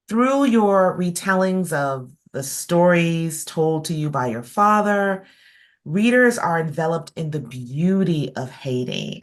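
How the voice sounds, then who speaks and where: A woman hosts an interview in a low, unhurried voice. She speaks thoughtfully, pausing with ums as she builds her questions. She is heard over a video call. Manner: measured, thoughtful, with frequent fillers. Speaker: host. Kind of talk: interview hosting.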